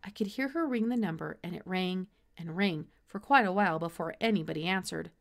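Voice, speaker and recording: A woman in her thirties reading a children's chapter book aloud with expressive storytelling narration. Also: fairly low pitch, brisk, vocal fry. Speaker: storybook reader.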